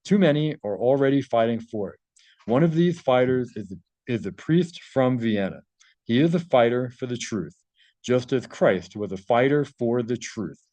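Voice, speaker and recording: A man's voice: mid-range voice, lively intonation, normal speed, dark tone, no room sound, poor audio. A man reading a translated article aloud and adding his own informal commentary.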